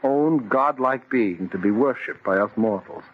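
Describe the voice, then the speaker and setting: An actor playing a dreamy, obsessive young bridegroom in an old radio horror drama, speaking with rapt, insistent intensity. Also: male voice, mid-range voice, unhurried, close to an everyday voice. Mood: fervent. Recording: dull, noisy vintage radio recording.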